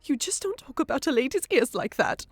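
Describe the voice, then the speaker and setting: Woman doing a snooty fantasy creature lady for an audiobook, prim and formal, voice on the high side. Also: sing-song, brisk, dry recording. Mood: offended. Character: haughty, easily offended nonhuman woman, proper and vain. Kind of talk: audiobook character acting.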